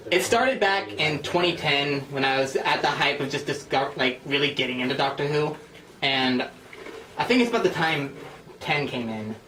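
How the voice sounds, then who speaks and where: A man with a slightly high voice chats casually as he hosts a script reading, poking fun at himself. He sits back from the mic in a roomy space, switching between explanation and read-out stage directions. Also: normal speed. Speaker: host of a script-reading session with friends. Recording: roomy, distant mic with faint noise.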